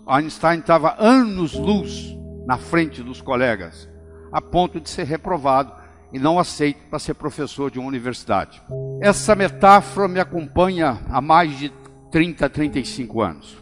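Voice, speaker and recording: An older Brazilian man delivers a motivational talk, stressing key phrases by slowing down on them. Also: fairly high pitch, expressive. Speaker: motivational speaker. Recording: long hall reverb with faint music. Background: faint background music.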